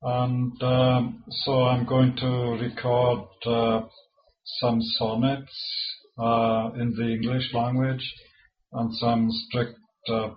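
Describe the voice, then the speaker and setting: Welsh man, native Welsh speaker, poet reading his own verse slowly and deliberately, dull lo-fi sound. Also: medium pitch, a little hiss or hum. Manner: slow and measured, with halting asides between poems.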